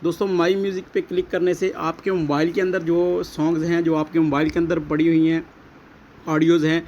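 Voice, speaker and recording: A man's voice: fairly high pitch, flat delivery, brisk, a little mellow. A man with a Pakistani accent narrating a step-by-step app tutorial, explaining repetitively and addressing viewers as friends. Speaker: tutorial host. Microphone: voice-over recorded alongside phone screen recording. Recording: dull lo-fi audio, faint background noise.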